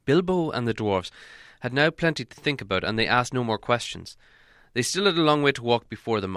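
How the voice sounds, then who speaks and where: Bright-voiced man reading a story aloud. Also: medium pitch, clean tone, dry recording, clean studio sound. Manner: steady, measured storytelling. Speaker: audiobook reader. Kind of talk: audiobook narration.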